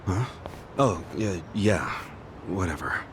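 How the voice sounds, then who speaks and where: An actor playing a brash, loyal middle-aged yakuza in a game dub, speaking in a blunt, casual, earnest way. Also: male voice, deep voice, animated, slow, background noise. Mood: puzzled. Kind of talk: English video game dub acting.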